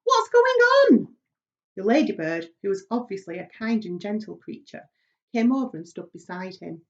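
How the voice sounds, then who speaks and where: A woman reads a children's storybook aloud as a narrator, with expressive delivery at a middle pitch. The recording is a little dull and lo-fi. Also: moderate pace. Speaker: reader, primary school staff member.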